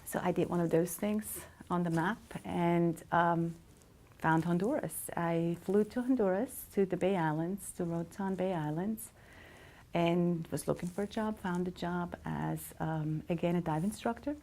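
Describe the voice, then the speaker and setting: Middle-aged woman, interview guest, reflective, deep gravelly tone. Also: a little slow, mellow. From Switzerland. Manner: reflective, many hesitations. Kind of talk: casual storytelling.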